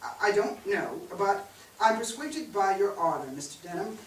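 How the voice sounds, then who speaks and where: A man's voice: high-pitched, expressive, moderate pace, fairly bright tone. A man playing a formal, cautious, experienced banker in a staged play reading, with a hesitant manner. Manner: formal, hesitant with many uhs. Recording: echoey stage, distant mic, background hiss.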